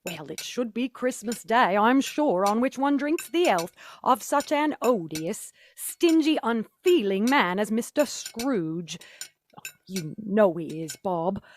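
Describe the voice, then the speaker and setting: Slow, lively, outspoken mother played by a woman. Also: a little high, a little hiss or hum. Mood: indignant. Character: Mrs. Cratchit, warm motherly outspoken poor wife. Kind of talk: theatrical radio play acting. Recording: faint dish clinking behind voice.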